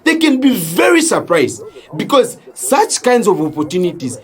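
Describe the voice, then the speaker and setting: A young Zambian man from the village in an audio drama. His voice is high and animated in its melody. He speaks with earnest emphasis, as a nephew among his city relatives. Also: moderate pace. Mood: earnest, pained. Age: young adult.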